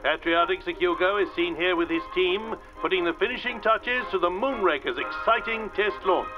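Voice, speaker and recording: An actor playing a gushing, patriotic 1950s newsreel announcer in a radio drama, pompous and rousing, through an old newsreel filter. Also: male voice, sits high, normal speed. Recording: muffled old newsreel, music behind.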